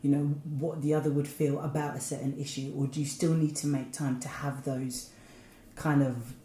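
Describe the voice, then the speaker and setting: Female co-host, very low warm voice, chatty winding questions, echoey room with faint noise. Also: level tone, normal speed. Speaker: podcast co-host. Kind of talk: interview questions.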